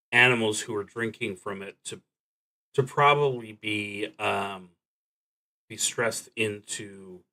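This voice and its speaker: A man streaming a solo tabletop roleplaying game, thinking aloud in a halting, deliberate way that trails off between thoughts. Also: on the low side, a bit bright, rough recording.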